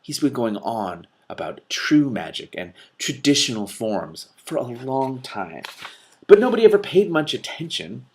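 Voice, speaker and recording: A man's voice: mid-range voice, very animated, measured pace, slight background noise, voice pushed up. Storybook fire witch, male, read by a man: hot-headed, aggrieved, emphatic ranter with a grumbling edge.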